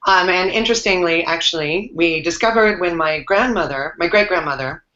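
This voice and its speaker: Low-voiced woman in an echoey room. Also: moderate pace, sharp tone. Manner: rambling, filler-heavy, reflective. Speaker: panel guest. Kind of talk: casual self-introduction on a video call. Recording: echoey room, dull lo-fi sound.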